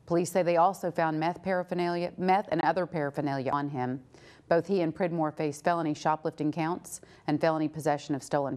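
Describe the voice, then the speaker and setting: A woman news anchor in middle age with a low-pitched voice. She reads scripted stories in a TV studio in a formal newsreading style. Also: a little mellow.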